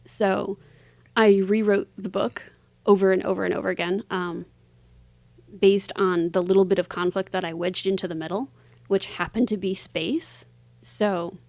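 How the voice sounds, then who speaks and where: A woman's voice: medium pitch, creaky, darkish tone, no echo. Woman chatting as an interview guest, dry sound. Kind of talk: casual interview chat.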